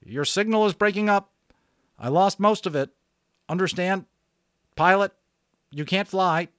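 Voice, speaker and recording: A man's voice: high-pitched, unhurried, fairly bright tone, dry recording, studio quality, pitched above the performer's own voice. A man voicing an adult male radio operator, speaking in clipped, procedural radio talk. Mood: businesslike.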